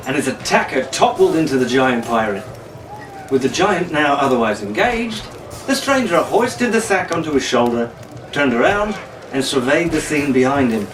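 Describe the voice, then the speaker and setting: A man's voice: fairly high pitch, animated, normal speed. A man narrating an adventure story in a dramatic, scripted audiobook style. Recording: roomy, distant mic, faint noise.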